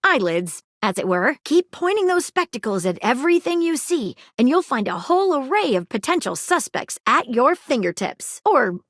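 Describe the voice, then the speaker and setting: A woman's voice: high voice, very animated, normal speed, few pauses, a bit bright, dry recording, clean studio sound, full character voice. A woman voicing an eager, prim detective magnifying glass in a video game, with arch, wordy, old-fashioned sleuth talk and a suspicious, wry temper. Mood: knowing, playful. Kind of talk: theatrical video game character acting.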